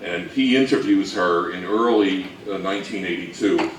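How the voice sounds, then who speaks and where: A man giving an informal history talk, halting and anecdotal, with dry humour. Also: deep voice. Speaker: co-presenter of a talk on old-time radio history. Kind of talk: informal lecture to a live audience. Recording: echoey room from far off, faint noise.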